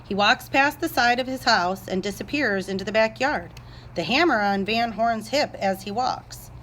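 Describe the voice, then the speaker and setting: A woman's voice: fairly high pitch, slight background noise. A woman narrator reading scripted stage directions aloud.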